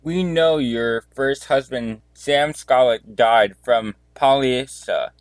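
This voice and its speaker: Methodical detective acted by a man, mid pitch, dry close sound, slow deliberate delivery, audio drama. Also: no room sound, lightly acted. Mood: accusing. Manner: deliberate, declarative. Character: methodical police detective questioning murder suspects. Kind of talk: amateur audio drama acting.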